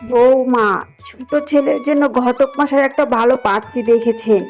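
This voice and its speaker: Woman as a high-voiced scheming mother-in-law. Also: fairly even intonation, moderate pace, soft background music, natural voice. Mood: businesslike. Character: scheming middle-aged village mother-in-law, doting on daughter-in-law. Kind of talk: Bengali cartoon voice acting.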